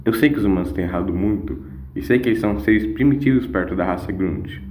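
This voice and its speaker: A man's voice: lowish voice, quick pace, darkish tone, slight background noise, lightly acted. A man voicing a wise, moral grandfatherly time-traveller in an audio story, speaking emphatically and persuasively. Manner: emphatic, coaxing, with questioning hums. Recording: echoing voice over music.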